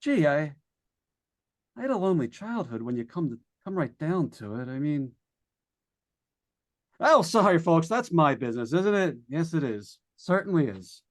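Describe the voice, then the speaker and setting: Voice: medium pitch, sing-song, moderate pace, pauses often, natural voice. An actor in an old-time radio suspense play, playing a jittery, stammering broadcaster who talks to listeners at home in a folksy way and is coming unraveled. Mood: dazed, unraveling.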